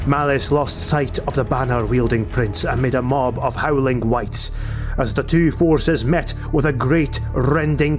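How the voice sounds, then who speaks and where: A man narrating a fantasy audiobook in a measured, theatrical, dramatic style. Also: medium pitch. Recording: music behind the voice. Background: music.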